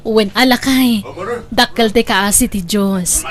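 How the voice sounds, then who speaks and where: A woman with an Ilocano accent playing a gentle, affectionate middle-aged wife and mother in a sentimental audio drama. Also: a little high, slow. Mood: cheerful, caring. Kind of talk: Ilocano radio-style audio drama acting.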